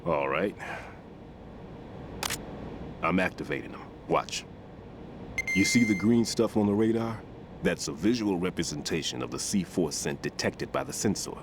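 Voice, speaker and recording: An actor playing an aging, weary bomb disposal expert in a video game, speaking deliberately as he instructs. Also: sits low, animated, background noise. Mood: firm, instructive. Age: older.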